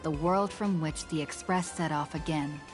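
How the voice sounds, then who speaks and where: A woman playing a storyteller who narrates an epic fantasy game saga in a formal, measured, scripted manner. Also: low voice, lightly acted. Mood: solemn, measured. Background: game music.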